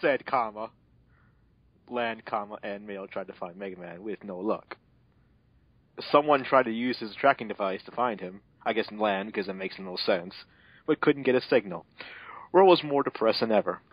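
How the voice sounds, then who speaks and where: A man's voice: lowish voice, lively intonation, normal speed, no echo. A man narrating an amateur mocking dramatic reading of fanfiction, breaking into sardonic asides. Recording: dull, lo-fi home mic.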